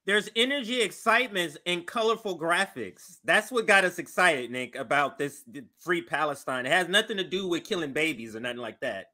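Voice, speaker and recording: An American man co-hosting a casual political show, talking in a halting, repetitive, wryly amused way. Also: a little high, lively intonation, pushed and bright. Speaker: co-host.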